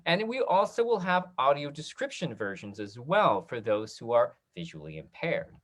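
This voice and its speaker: A host of a casual online talkback, speaking in a halting, conversational way like a drama teacher. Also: medium pitch, big pitch swings, fairly bright tone.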